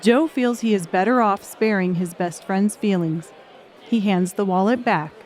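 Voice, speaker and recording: A woman's voice: medium pitch, a little slow, darkish tone, some chatter behind. A woman narrator reading scripted audiobook narration.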